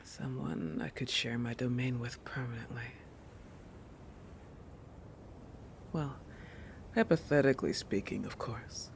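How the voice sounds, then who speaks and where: A man voicing a possessive, oblivious shark protector in an intimate roleplay audio, halting and musing, often dropping into a breathy whisper. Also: mid-range voice, a little hiss or hum, close to an everyday voice. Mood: musing, tender. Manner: halting, musing, with thoughtful hums.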